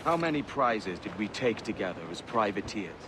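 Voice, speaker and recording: Cocky pirate captain over sea noise. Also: male voice, lowish voice, very animated, moderate pace. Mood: proud, defiant. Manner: teasing, self-assured. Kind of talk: video game voice acting. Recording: sea and boat noise behind.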